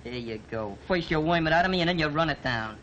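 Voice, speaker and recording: An actor playing a scrappy, defiant young New York street kid with big dreams, talking tough and slangy in an old film. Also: male voice, fairly high pitch, lively intonation, fast talker, a bit bright, highs cut off, a little hiss or hum. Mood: boastful.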